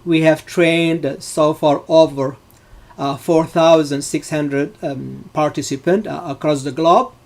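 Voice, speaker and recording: A man's voice: on the high side, fairly even intonation, slow pace, vocal fry, a little mellow. A middle-aged man from Morocco giving a live online data science lesson, speaking with many fillers and loosely built sentences.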